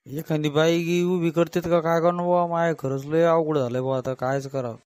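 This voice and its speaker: A man's voice: fairly high pitch, fairly even intonation, normal speed, darkish tone, no room sound, studio quality, lightly acted. A man voicing a worried, hesitant cartoon village headman with a folksy Varhadi Marathi accent. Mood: worried, unsure. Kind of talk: comic cartoon dialogue.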